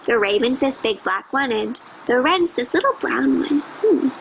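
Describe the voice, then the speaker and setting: A woman's voice: sits high, expressive, creaky, slight background noise, poor audio. A woman reading a picture book aloud to young children, with exaggerated storytelling and simple questions to her listeners. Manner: exaggerated, drawn-out storytelling delivery with child-directed asides.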